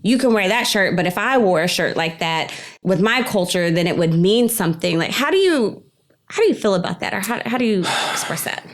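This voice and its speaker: A woman's voice: mid-range voice, animated, brisk. Woman, podcast host, middle register, conversational, informal, personal. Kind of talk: conversational interview.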